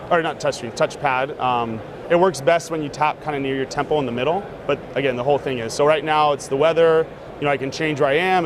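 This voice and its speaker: A man casually demonstrating a gadget in an interview, relaxed and full of fillers. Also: fairly high pitch. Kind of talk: casual demo talk. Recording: noisy outdoor street sound.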